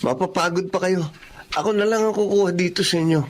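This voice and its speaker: A man's voice: sits high, fairly even intonation, fast, slight background noise, natural voice. An actor playing an evasive, pleading young man in a Filipino drama. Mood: polite, furtive. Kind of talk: Filipino radio-style drama acting.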